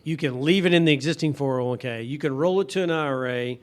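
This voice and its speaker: A man's voice: mid-range voice, fast. A man hosting a radio talk show on finance, steering the chat with questions and recaps. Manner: conversational, guides the talk with questions.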